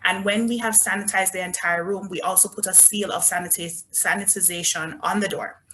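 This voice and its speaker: A woman's voice: lowish voice, fairly even intonation, fairly quick, bright, forceful tone, slight background noise, poor audio. A woman with a Jamaican accent, presenting resorts in an upbeat, chatty sales talk over a video call. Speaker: resort business development manager. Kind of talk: online travel presentation.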